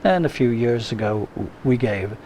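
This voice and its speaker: A man answering interview questions slowly and haltingly, with frequent uhs. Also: mid-range voice, expressive, mellow, a little hiss or hum. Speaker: guest, lodge member. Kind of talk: casual interview.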